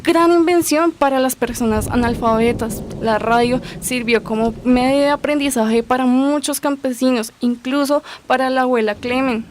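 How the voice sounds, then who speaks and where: A woman's voice: on the high side, natural voice. A woman playing an earnest mother who lectures her children in an amateur radio drama. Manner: earnest, didactic. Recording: noisy amateur recording.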